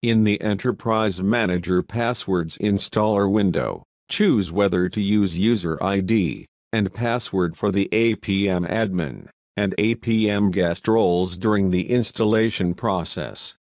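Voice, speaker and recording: Man, lowish voice, scripted tutorial narration, measured and procedural, dry recording. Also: darkish tone, dry recording.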